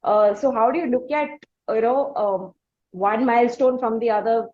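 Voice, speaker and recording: A woman with an Indian accent hosting a conversational interview, asking long, halting questions. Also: on the high side, phone-quality sound. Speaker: interviewer and podcast host.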